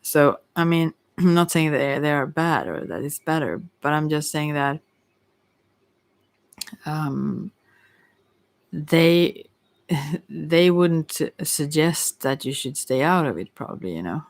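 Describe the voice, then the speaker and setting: A psychic tarot reader speaking in a gentle, consoling ASMR style, rambling and often correcting themselves while giving unscripted advice. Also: medium pitch, lively intonation, slow pace, pauses often.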